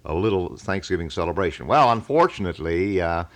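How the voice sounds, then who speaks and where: A man's voice: low-pitched, lively intonation, a little fast. A middle-aged man hosting a local radio call-in show, chatting casually in a folksy, informal way on a 1980 broadcast.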